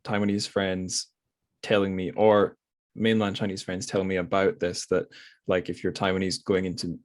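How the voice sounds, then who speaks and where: Very low-voiced Scottish man. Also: little pitch movement, moderate pace. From Scotland. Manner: rambling, hesitant with many restarts and fillers. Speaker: podcast host. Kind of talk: casual conversation.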